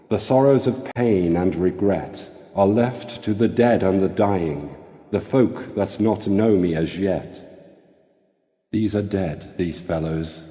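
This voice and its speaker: A man giving a solemn, dramatic reading of scripture in a grave, deliberate manner. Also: sits low, mellow. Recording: roomy with long reverb.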